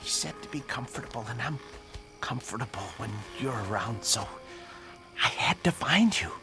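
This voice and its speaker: An actor playing a timid, haunted abductee, childlike and trusting, speaking hesitantly in simple phrases in an audio drama. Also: male voice, medium pitch, animated, normal speed, music in the background, natural voice. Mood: frightened.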